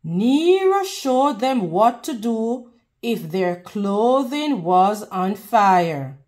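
A woman reading a picture book aloud to children in a deliberate, teacherly way, pausing to put questions to them. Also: mid-range voice, sing-song, darkish tone. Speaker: children's read-aloud host.

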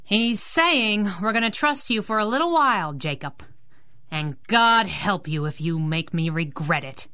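Wisecracking old time radio heroine, tough n sassy, animated voice, dry close sound. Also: female voice, medium pitch, measured pace, dry recording. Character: feisty wisecracking female masked sidekick. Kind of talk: 1930s radio drama acting.

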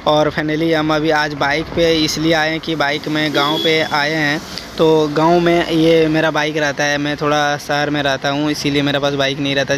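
Young male motovlogger, rambling commentary while riding, monotone, wind and road noise. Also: on the high side. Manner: rambling, chatty, addresses viewers as guys. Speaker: moto vlogger. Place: outdoors on a motorcycle on rural roads. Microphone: helmet-mounted action camera.

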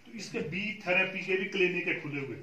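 Man, patient trainer explaining to farmers; slightly high voice, distant and roomy with faint background noise. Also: brisk, bright, forceful tone. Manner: patient, explanatory, often checks with "ठीक है जी". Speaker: beekeeping trainer. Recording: echoey room, far from the mic.

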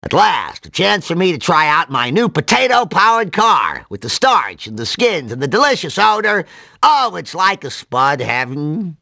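A man voicing a nerdy, excitable, absent-minded cartoon mad scientist in a video game, nasal and full of rambling jargon and odd exclamations. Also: on the high side, normal speed, gravelly, no room sound, clean studio sound, full character voice. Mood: eager rambling.

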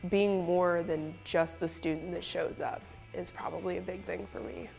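A woman's voice: lowish voice, fairly even intonation, moderate pace, gravelly, a little mellow. A young woman, a student band member, giving casual interview answers indoors. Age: young adult. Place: room with wooden cubbies, indoors. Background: faint music.

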